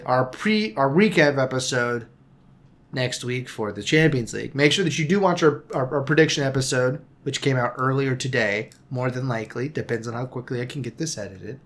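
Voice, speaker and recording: A man's voice: medium pitch, lively intonation, normal speed. A man hosting a casual soccer podcast, rambling with frequent restarts and self-corrections. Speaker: podcast host. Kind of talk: casual sports podcast chat.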